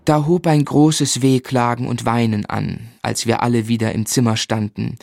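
Actor as an earnest, medium-voiced gentleman narrator. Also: mid-range voice, level tone, creaky, dry recording, studio quality, close to an everyday voice. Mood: sombre. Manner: measured, earnest, literary. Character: earnest, sensitive young gentleman recalling sad events. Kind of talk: scripted first-person radio play narration.

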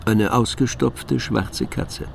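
An actor narrating a detective radio drama as a composed storyteller, with scripted, measured delivery. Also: male voice, mid-range voice, gravelly, slight background noise.

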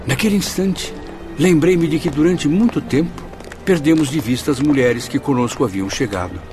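A man's voice: fairly high pitch, moderate pace, music in the background, close to an everyday voice. An actor playing an anguished, weary man with wry humor in a Brazilian radio drama, speaking Portuguese dramatically with a Brazilian accent. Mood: reflective recounting. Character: Camilo, anguished, exhausted male spirit with wry humor. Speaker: protagonist and narrator.

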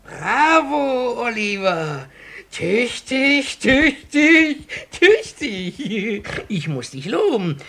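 An actor playing a wily old master of child pickpockets in a theatrical, wheedling 1950s radio drama manner. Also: male voice, very high voice, big pitch swings, very slow, pushed and bright, faint music behind, exaggerated voice. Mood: gleeful. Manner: theatrical, wheedling, cackling, repeats words. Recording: noisy 1950s radio recording.

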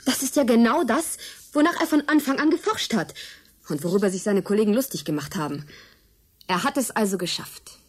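A woman's voice: fairly high pitch, sing-song, a little fast, darkish tone. An actress playing a curious, incredulous woman investigator in a naturalistic German radio drama, speaking in a conversational, inquisitive way. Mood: pondering.

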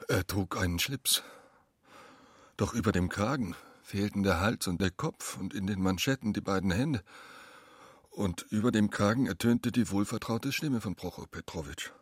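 An actor as a storyteller in a radio play, narrating deliberately and deadpan with a husky voice. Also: male voice, lowish voice, dry recording, clean studio sound.

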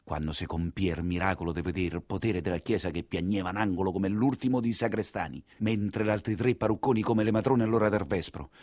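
A man with a Roman accent narrating a monologue as an actor, theatrical and expressive, in dialect storytelling style. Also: low-pitched, brisk, hardly any pauses, dry recording.

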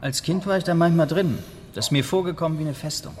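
A man's voice: on the high side, fast, close to an everyday voice. A man playing a wary gang member in a radio crime drama, questioning things sceptically. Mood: recollecting.

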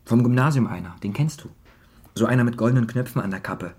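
A man's voice: mid-range voice, quick pace, darkish tone, a little hiss or hum, close to an everyday voice. An actor playing a chatty young man, the grown son of a small-town family, in a radio drama. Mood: gossipy. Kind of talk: German radio drama acting.

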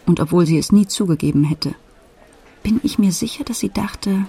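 An actress playing a grown daughter who retells her family's past in measured, matter-of-fact radio play narration. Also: fairly low pitch, gravelly, warm tone, slightly rough, heavily acted.

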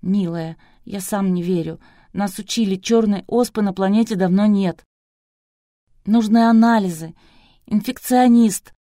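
A terrified middle-aged man of medicine, acted out by a woman narrator in an audiobook. He is broken and pleading, speaking in tearful, halting phrases, with a close, dry sound. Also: mid-range voice, dark tone, no echo, close to an everyday voice. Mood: helpless, subdued.

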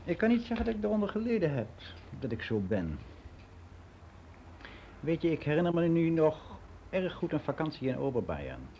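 Mellow older man reminiscing, faint hiss. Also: a little high, wildly swooping pitch, normal speed, pauses often. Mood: candid, reminiscing. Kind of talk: radio play monologue as a personal testimony.

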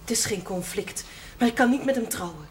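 A woman's voice: fairly high pitch, animated, a little fast, a little hiss or hum, lightly acted. An actress playing a resolute, earnest village woman in a television period drama, speaking solemnly and directly in short statements. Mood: resolute, sad. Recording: distant microphone, music behind, 1970s television.

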